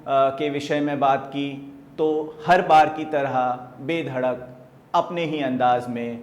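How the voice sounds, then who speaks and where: A man with an Indian accent presenting a news report in halting phrases. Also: medium pitch, steady tone, muffled recording. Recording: echoey room.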